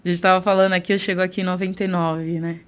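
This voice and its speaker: A woman in her thirties from Recife speaks as a podcast guest. Her voice sits fairly low, and she tells her story in a lively, warm way, leaning hard on key words. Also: very fast, smooth. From Recife, Pernambuco, Brazil. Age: 30s. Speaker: guest, city councillor. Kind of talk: casual interview.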